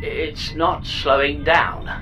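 An actor playing a smug, sardonic artificial pod companion with a deadpan, wry manner in a comic sci-fi audio drama. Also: medium pitch, slow pace, natural voice, rough recording. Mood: wry, blasé. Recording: music under the voice.

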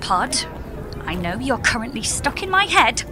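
A woman's voice: a little high, sing-song, measured pace. A woman playing an exasperated, naive and incredulous space traveller in an audio comedy drama. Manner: incredulous, indignant, plain-spoken.

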